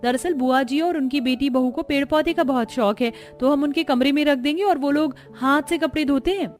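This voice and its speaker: A woman's voice: sits high, brisk, close to an everyday voice. A woman voicing an eager, friendly, chatty young sister-in-law in a cartoon story, with music behind. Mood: perky.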